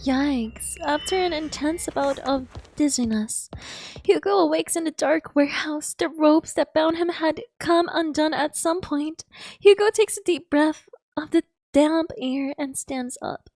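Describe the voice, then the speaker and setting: A woman's voice: high-pitched, a little slow, a little mellow, no echo. A woman streaming her reactions to a game story and reading dialogue aloud, emotive, exclamatory and sympathetic.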